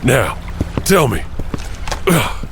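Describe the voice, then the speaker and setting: A man's voice: medium pitch, big pitch swings, very slow, creaky, background noise, exaggerated voice. A man playing a folksy, goofy janitor who hosts a storytelling podcast, joking and rambling as he goes. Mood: chatty, jovial. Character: folksy, goofy male janitor telling strange tales. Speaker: host. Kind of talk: in-character podcast monologue.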